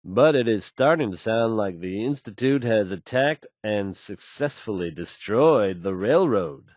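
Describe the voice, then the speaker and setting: Assured game radio newsman: lower register, fluent folksy announcer delivery, dry and close. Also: animated, unhurried, darkish tone, dry recording. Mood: assured, serious. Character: confident, smooth, assured male radio newscaster. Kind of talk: scripted radio news announcing in a video game.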